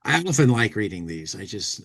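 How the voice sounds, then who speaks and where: Man reading aloud with lively pitch swings. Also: fairly quick, creaky. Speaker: podcast host. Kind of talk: casual commentary and dramatic reading of a comic magazine.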